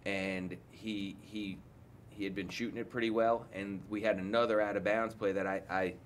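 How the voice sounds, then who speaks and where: A man's voice: fairly low pitch, little pitch movement, normal speed, slight background noise. A man in his forties, a head coach, giving candid, rambling post-game answers full of restarts, seated at a table mic in an indoor press room.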